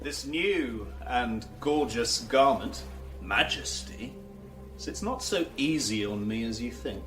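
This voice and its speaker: Slow, solemn young king. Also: medium pitch, expressive, over music. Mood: grave, pointed. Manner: measured, solemn. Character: newly crowned young king, grave, uneasy, watchful. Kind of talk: Shakespearean radio drama acting.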